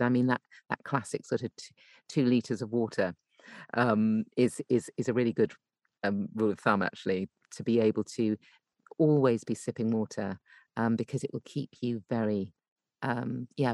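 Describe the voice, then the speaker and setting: A woman's voice: a bass voice, steady tone, normal speed, mellow, no echo, clean studio sound. A woman giving tips as a podcast guest in casual, chatty and emphatic conversation. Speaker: podcast guest, voice actor and coach.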